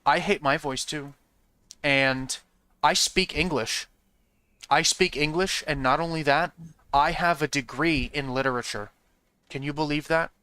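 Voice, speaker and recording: A man's voice: on the high side, measured pace, pauses often, sharp tone, smooth, dry recording. A man streaming a game and chatting with viewers in a rambling, frank way, often asking "you know what I mean?" and swearing now and then. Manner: frank and profane. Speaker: streamer talking to chat. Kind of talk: casual chat with viewers while playing.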